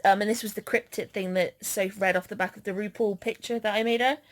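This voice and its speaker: A woman's voice: mid-range voice, steady tone, fast. A woman vlogger chatting casually in a giggly way. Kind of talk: casual chat.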